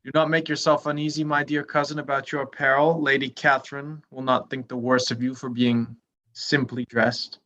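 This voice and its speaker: A man playing a condescending, self-important gentleman cousin in a staged reading of a period comedy, formal and wordy, at a medium pitch. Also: little pitch movement, moderate pace, close to an everyday voice. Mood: self-satisfied.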